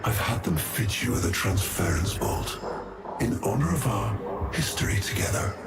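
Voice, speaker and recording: An actor playing a menacing aristocratic game villain, cruelly courteous, with theatrical, drawn-out, mock-kindly delivery. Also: male voice, fairly low pitch, sing-song, loud music behind the voice. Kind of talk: cinematic video game voice acting.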